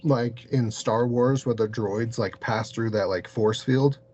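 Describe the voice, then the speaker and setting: A man telling a story casually as an interview guest, halting and peppering his sentences with "like". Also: mid-range voice, a little mellow. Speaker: podcast guest, paranormal investigator.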